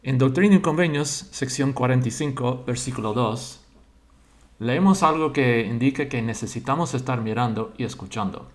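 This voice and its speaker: A man, a church member slowly sharing his religious thoughts and pausing to search for words in a language he learned later. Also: mid-range voice. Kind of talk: slow personal religious monologue.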